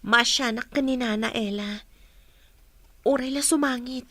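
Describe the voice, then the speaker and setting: A woman's voice: on the high side, unhurried, bright, forceful tone, dry recording. A woman playing a helpful, coaxing young village daughter in a radio drama. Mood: concerned. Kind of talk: Ilocano radio drama acting.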